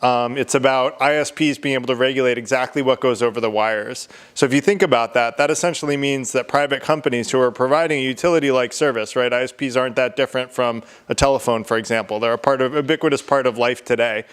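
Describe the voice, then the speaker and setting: A man arguing in a debate, loud and casual, with frequent ums and uhs. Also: mid-range voice, steady tone, fast, few pauses, clean tone. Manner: loud, casual, many fillers. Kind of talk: loose spoken debate argument.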